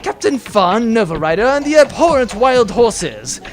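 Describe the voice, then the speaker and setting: A man's voice: extremely high pitch, very animated, moderate pace. Guy doing a pompous snarky space baron villain, super theatrical, voice jumps all over, music behind. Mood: gloating, grandiose. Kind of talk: comedic sci-fi audio drama acting.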